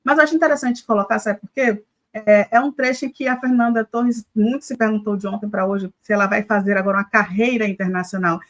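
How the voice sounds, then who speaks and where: A woman's voice: mid-range voice, fairly even intonation, fast talker, hardly any pauses. Chatty woman hosting live news. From Brazil. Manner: conversational, many filler hesitations. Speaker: news show host. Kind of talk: live commentary show.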